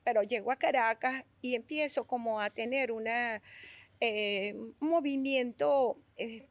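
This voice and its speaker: A middle-aged Venezuelan woman with a Venezuelan accent, a sculptor telling stories in an interview, animated and restarting her words often. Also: on the high side, dry recording. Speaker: guest sculptor. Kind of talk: conversational storytelling.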